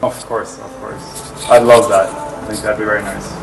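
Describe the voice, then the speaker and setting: Norwegian man, low-voiced, roomy distant sound. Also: level tone, moderate pace, vocal fry, background noise. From Norway. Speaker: interview guest, actor. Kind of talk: casual interview answers. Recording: roomy, distant mic, music underneath.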